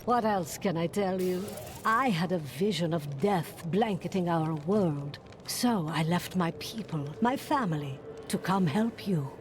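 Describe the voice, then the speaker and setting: Low-voiced wry mystic seer. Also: female voice, animated, a little slow. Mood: wistful, wry. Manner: reflective, wry. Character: calm mystic seer woman, wry and wistful. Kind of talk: video game voice acting. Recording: noisy background.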